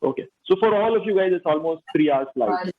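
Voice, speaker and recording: A man hosting a panel discussion, upbeat, prompting each guest with quick praise. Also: high-pitched, expressive. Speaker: host. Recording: dull lo-fi audio.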